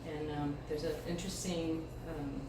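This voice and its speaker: Middle-aged American woman, featured poet at a live reading, expressive phrasing, slightly low pitch, very echoey room. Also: normal speed. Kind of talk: live poetry reading with casual introductions. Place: indoor live event space, mic far from speaker. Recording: distant, echoey room recording with clear noise.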